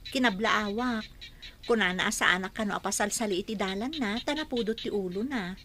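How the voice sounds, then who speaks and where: A woman's voice: mid-range voice, fairly quick, pushed and bright, a little hiss or hum, natural voice. An actress playing a cheeky but helpful young woman who teases and chats in a radio drama. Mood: earnest. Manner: teasing, chatty.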